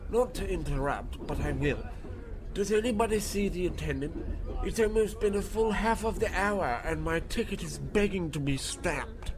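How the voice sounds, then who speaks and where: A man's voice: high voice, lively intonation, moderate pace. A man voicing a genteel, scholarly little ape professor with pompous old-fashioned politeness, in a radio comedy with noise behind. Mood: fussy.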